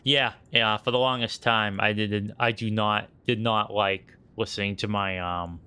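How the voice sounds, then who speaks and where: A man's voice: on the low side, steady tone, measured pace, sharp tone, clear, resonant tone. A man chatting casually on a stream, loose and teasing, with plenty of fillers, into a desk microphone. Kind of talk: casual chat.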